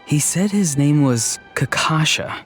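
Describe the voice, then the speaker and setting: An actor playing a teasing, mischievous young man in a video game, delivering lines with deadpan, mock-grand seriousness. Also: a little high, a little slow. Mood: mock-serious. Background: faint game background music.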